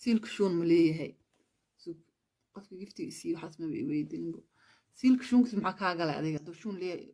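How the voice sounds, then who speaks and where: A woman's voice: fairly low pitch, animated, quick pace, mellow. A woman from Somalia, chatting casually on a livestream, switching languages and thanking viewers for gifts. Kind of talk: casual live-stream chat.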